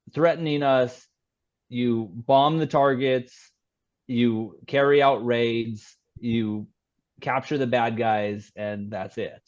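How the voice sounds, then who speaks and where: A man speaking as an interview guest, conversational and anecdotal, often halting and repeating words as he explains. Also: mid-range voice, darkish tone, highs cut off.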